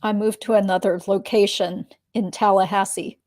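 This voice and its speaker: A woman reading the part of a guarded witness in a deposition, giving terse, measured answers. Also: medium pitch, fairly even intonation, darkish tone, lightly acted. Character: guarded adult female witness being deposed. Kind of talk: dramatic reading of a legal deposition transcript.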